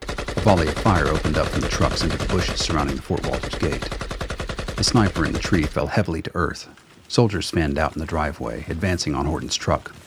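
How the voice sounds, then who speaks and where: A man with a very deep, husky voice reading scripted narration for a radio drama. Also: fairly quick, background noise.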